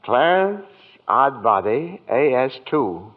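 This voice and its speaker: A man playing a kindly, eccentric apprentice guardian angel in old-time radio drama, genteel, earnest and gently insistent. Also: mid-range voice, sing-song, slow pace, heavily acted. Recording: tinny vintage radio broadcast.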